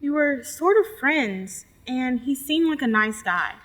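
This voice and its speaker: Woman playing shaken female college student, early adulthood. High register, halting, confessional stage monologue. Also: lively intonation, a bit bright. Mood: wistful, confiding. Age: young adult. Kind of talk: readers theater monologue.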